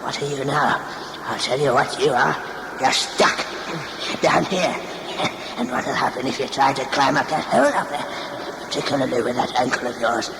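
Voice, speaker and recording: Man acting a creaky, taunting cave-dweller in deep echo. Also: lightly acted. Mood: mocking, taunting. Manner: teasing, mocking, drawn-out questions. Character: sly, taunting male cave-dwelling scavenger, bargaining and mocking. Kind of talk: sci-fi audio play acting. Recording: echoey cavernous reverb, noisy background.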